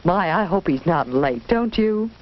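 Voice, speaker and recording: A woman voicing a calm, kindly and reassuring mother in a 1940s educational film story. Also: lowish voice, unhurried, highs cut off, pitched below the performer's own voice. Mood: mildly expectant. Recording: band-limited 1940s film soundtrack, background noise.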